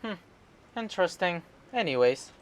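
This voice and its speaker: A man chatting casually and haltingly as he streams a video game, rambling between remarks. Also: high-pitched, sing-song. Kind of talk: casual livestream chat over a game. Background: game music.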